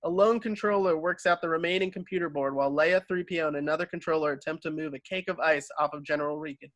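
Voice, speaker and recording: A man's voice: a little high, fast talker, fairly bright tone. A man reading screenplay stage directions as narrator of a table read.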